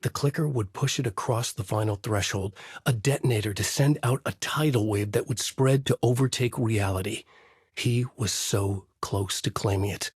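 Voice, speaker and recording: A man voicing a brooding, haunted crime novelist from a horror video game, narrating in a grave, intense, deliberate noir style with a husky voice. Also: fairly low pitch, darkish tone, dry recording, clean studio sound. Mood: ominous, brooding. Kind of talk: noir scripted narration and character lines.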